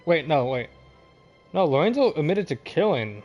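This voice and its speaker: A man doing casual, profane live game commentary as a streamer, often sounding confused and reacting out loud. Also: fairly high pitch, sing-song, moderate pace, dull audio, faint music behind. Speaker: video game livestreamer.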